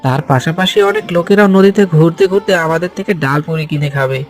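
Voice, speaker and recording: Man acting a humble villager, highish voice. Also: fast talker, faint music behind, lightly acted. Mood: earnest, determined. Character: humble, hopeful villager who runs a food stall. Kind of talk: Bengali cartoon voice acting.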